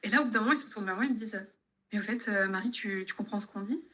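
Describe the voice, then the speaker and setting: A young woman from France, a physiotherapist chatting in an interview and telling lively anecdotes. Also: medium pitch, rapid-fire. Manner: chatty, tells anecdotes with laughs. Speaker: guest, physiotherapist. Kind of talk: casual interview chat.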